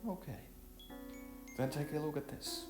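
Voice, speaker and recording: A man's voice: on the high side, unhurried, voice pushed up, lightly acted. Man reading as coaxing young woman, roomy echo. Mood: inviting. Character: friendly, playful young woman eager to host. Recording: roomy echo with music behind.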